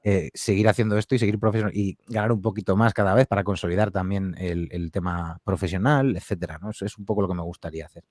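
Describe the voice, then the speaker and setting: A man talks quickly and loosely about his podcasting work. His voice sits a little low, and he rambles through fillers and restarts. The sound is close and dry, with no room around it. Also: a little mellow, no echo. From Spain. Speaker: horror audio fiction podcaster. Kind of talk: relaxed conversational interview answers.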